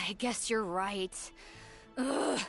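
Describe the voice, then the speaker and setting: A woman playing a resentful, uneasy conspirator in a video game, with a bitter, expressive delivery. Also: medium pitch, very slow, creaky, faint music behind, exaggerated voice. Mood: bitter, resolved.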